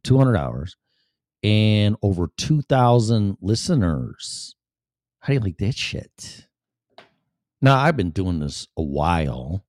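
A man's voice: lowish voice, sing-song, slow pace, a little mellow, no room sound, studio quality. A man with a Chicago accent hosting his live-stream show in a chatty, profane, self-deprecating ramble. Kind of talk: casual rambling monologue.

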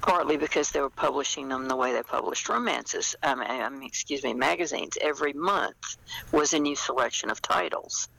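An older woman chatting as an interview guest, reflective and anecdotal, with hesitant pauses. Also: very deep voice, vocal fry, a bit bright, poor audio. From small-town United States. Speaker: guest, novelist. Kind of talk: casual interview chat.

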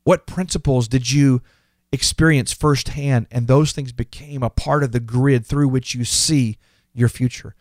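A man's voice: medium pitch, steady tone, unhurried, darkish tone, no room sound, studio quality. A fifty-year-old man hosting a podcast, giving an earnest, reflective and motivational talk that repeats phrases for emphasis. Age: 50s. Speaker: podcast host.

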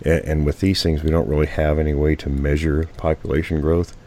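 A man with a cold and a hoarse voice, answering interview questions in a measured way. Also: a bass voice, fairly even intonation, warm tone.

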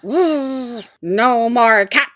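A woman's voice: fairly high pitch, a bit bright. A woman voicing a gloating, crude insect monster who buzzes between words, in an amateur script reading. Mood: menacing. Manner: punctuates lines with buzzing zzz sounds, drawn-out delivery. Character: buzzing bug monster villain with blades, gloating and crude.

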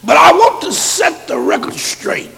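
A hoarse man a sermon in a chanting style that builds into shouts. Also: very high voice, very animated, unhurried, in a large hall. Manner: chanted preaching rising to shouts. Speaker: preacher.

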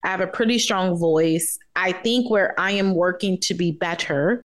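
Firm, measured woman podcast host. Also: on the low side. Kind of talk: casual podcast debate.

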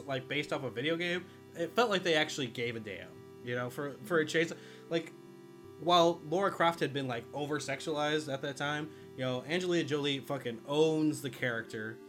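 A man's voice: on the high side, normal speed, fairly bright tone, faint music behind. A man in his thirties, a podcast co-host, talking films casually and jokingly with some swearing. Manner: jokey, laughs often, swears casually. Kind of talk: casual film countdown chat.

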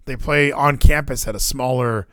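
A man's voice: medium pitch, moderate pace, clear, resonant tone, no room sound, clean studio sound. An American man in casual sports chat, rambling and opinionated, swearing freely as he goes. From Hawaii, United States. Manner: rambling, animated, profane, opinionated.

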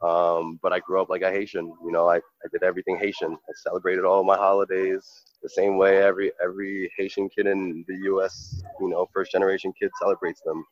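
A man with a very deep voice talks thoughtfully through his answers, pausing on fillers and starting over. The recording is dry with little room sound, and a bit dull in the highs. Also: level tone, no room sound. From New York. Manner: earnest, reflective, with many fillers and restarts. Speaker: professional goalkeeper. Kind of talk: interview answers.

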